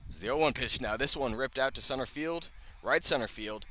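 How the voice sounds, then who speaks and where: Man, live baseball radio commentary, crisp forceful tone, medium pitch, dramatic emphasis. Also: fairly quick, slight background noise. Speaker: baseball play-by-play announcer. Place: outdoor ballpark broadcast.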